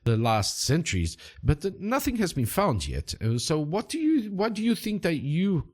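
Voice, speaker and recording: A man voicing a friendly middle-aged Brazilian riverboat captain with a put-on, Italian-sounding Portuguese accent, eager and halting, repeating himself. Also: fairly high pitch, huge pitch swings, pitched above the performer's own voice. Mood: chatty, explaining.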